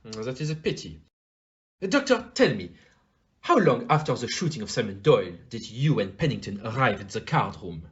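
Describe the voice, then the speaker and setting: Audio-play detective, male actor: polite, probing, exact, very animated intonation, muffled recording. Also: medium pitch, natural voice. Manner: formal, polite, deliberately questioning. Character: shrewd, courteous male detective, probing and precise.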